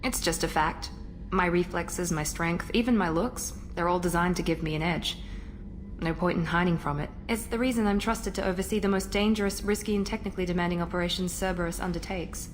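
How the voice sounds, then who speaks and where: A woman's voice: fairly low pitch, fairly quick, slight background noise, natural voice. A woman voicing a supremely self-assured operative in a video game, businesslike, formal and matter-of-fact. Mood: confident, businesslike. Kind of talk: video game dialogue acting.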